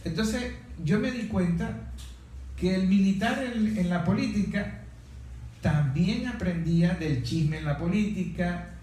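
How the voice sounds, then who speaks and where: A man in a reflective political discussion as interviewer, speaking haltingly with repeated words and rhetorical questions. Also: high-pitched, dark tone. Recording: very echoey room, distant mic, faint noise.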